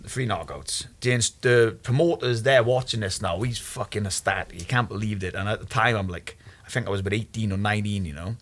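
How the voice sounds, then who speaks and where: Welsh man, young adult, bright tense voice, swears a lot, animated storytelling. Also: on the low side, fairly quick. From Wales. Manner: swearing, animated anecdotes, laughs at own stories. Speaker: guest, MMA fighter. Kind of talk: casual chat, storytelling.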